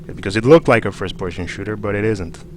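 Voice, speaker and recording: A man's voice: fairly low pitch, quick pace. A man from Romania, chatting casually and a little hesitantly as he hosts a live game stream on a headset microphone. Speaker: livestream host, gamer. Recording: noisy headset with game sound behind.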